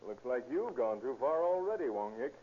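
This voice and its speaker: An actor playing a tough, wisecracking police detective, brusque and slangy, in the manner of 1930s radio drama. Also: male voice, medium pitch, big pitch swings, moderate pace, warm tone, natural voice. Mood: gloating. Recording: muffled 1930s radio recording.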